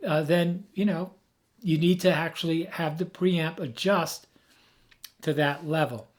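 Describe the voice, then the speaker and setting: A man who has lost his voice, hoarse, giving an emphatic, rambling off-the-cuff tech explanation as a video host. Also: a little high, measured pace. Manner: emphatic, rambling, with frequent restarts.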